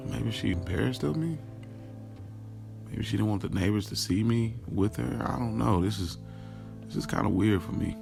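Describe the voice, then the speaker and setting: Audio drama lead, played by a man: lovestruck, boastful, self-doubting guy. Very low, creaky voice, slangy first-person monologue, talks to listeners like friends. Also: normal speed, long pauses, music in the background. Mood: relaxed, wondering. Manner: casual, slangy, confiding, addresses listeners directly. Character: smitten, boastful, self-questioning man recounting his love life.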